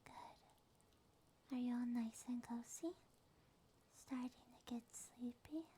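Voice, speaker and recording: A woman voicing a small, affectionate succubus monster girl in a soft, soothing, sleepy ASMR roleplay. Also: on the high side, slight background noise. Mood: cozy, whispering. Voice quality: soft, breathy, often whispered. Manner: slow, tender, soothing.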